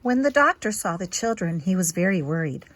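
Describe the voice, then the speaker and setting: A woman reading a children's picture book aloud in an expressive, teacherly way, with simple asides to young listeners. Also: lowish voice, a little fast.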